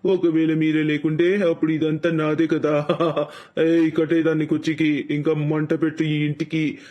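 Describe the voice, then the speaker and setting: A man playing a cruel, greedy brother-in-law in a cartoon story, mocking and slowly menacing as he gloats. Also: fairly high pitch, monotone, a little mellow, close to an everyday voice. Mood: gloating menace. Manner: mocking, slow drawn-out menace. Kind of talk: animated moral story acting.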